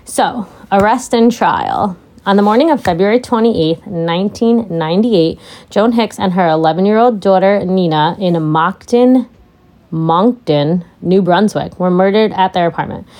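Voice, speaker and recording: A middle-aged woman co-hosts a true crime podcast in a relaxed, chatty way. Her voice is loud and a little deep, and she drifts into laughing asides as she tells the case. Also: unhurried. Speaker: podcast co-host. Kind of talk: casual true crime chat.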